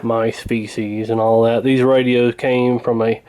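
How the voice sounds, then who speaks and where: A man's voice: mid-range voice, little pitch movement, slow pace, a little mellow, clean tone. A man talking casually and hesitantly through a hands-on repair, in a folksy, unscripted way. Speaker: radio repair hobbyist, presenter.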